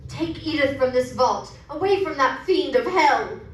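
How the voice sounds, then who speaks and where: An alarmed, fearful companion played by a woman in a 1930s-style radio horror play. The voice is high and dramatic, heard from afar in a very echoey space. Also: animated, measured pace. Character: alarmed companion breathlessly reporting horrors in a vault. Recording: very echoey, distant, faint noise.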